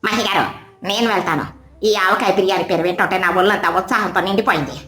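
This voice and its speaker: A woman voicing a confident, energetic mother bird who takes charge, in a cartoon. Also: medium pitch, quick pace, echoey room, faint music behind, close to an everyday voice. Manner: confident, enthusiastic. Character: responsible, energetic mother bird, village provider.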